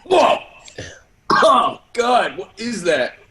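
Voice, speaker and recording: An excitable young boy, played by a man at a script read. The voice is extremely high and sharp, swinging up and down. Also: extremely slow, a little hiss or hum, exaggerated voice. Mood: disgusted. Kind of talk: table read of a film script over video call.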